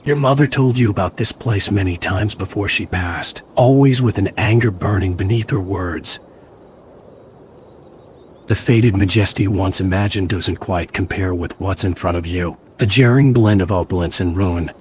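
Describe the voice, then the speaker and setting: A man narrating a gothic mystery game as a brooding storyteller, in a measured, atmospheric scripted style. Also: deep voice, a little hiss or hum, lightly acted.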